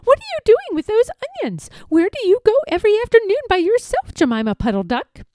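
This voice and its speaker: A woman acts out a storybook collie dog, high and fast. The dog is stern and questioning, with lively swoops in every sentence. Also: no room sound, clean studio sound, exaggerated voice. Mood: suspicious, urgent. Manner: brisk, interrogating. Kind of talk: children's storybook character voice.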